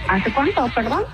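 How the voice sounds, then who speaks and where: A woman working as a chat line operator, speaking patiently and politely on a phone call and often laughing. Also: very high-pitched. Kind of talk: phone conversation.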